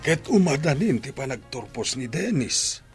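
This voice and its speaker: A man's voice: mid-range voice, big pitch swings, normal speed, soft background music. A man acting a reproving older father and grandfather in an Ilocano radio drama. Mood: reproachful.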